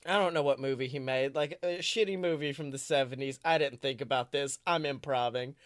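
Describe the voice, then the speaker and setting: A man's voice: mid-range voice, a little fast, no echo, studio quality. A man co-hosting a podcast, improvising a comic story in a rambling, self-correcting, playfully dramatic way. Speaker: podcast co-host.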